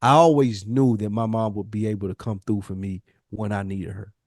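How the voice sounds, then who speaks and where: A man's voice: fairly low pitch, fairly even intonation, a little fast, a little mellow, no echo. Slangy man in his forties, dry close sound. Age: 40s. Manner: slangy, profane, repeats phrases for emphasis. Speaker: podcast co-host. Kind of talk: casual chat, personal storytelling.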